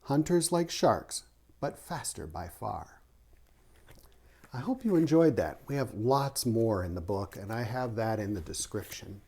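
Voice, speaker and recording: A man with a mellow, relaxed voice reads aloud for children in the manner of a teacher. His pitch moves in an animated way, keeping a clear rhythm as he presents the material. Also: unhurried. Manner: rhythmic reading of rhyming verse, teacherly asides. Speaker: author, artist and art teacher reading aloud. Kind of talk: children's picture-book read-aloud with short explanations.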